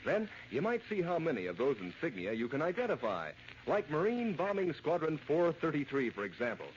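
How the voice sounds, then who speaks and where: An American man giving an enthusiastic, folksy, emphatic sales pitch in 1940s radio advertising style. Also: huge pitch swings, fairly quick. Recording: muffled, noisy vintage radio recording.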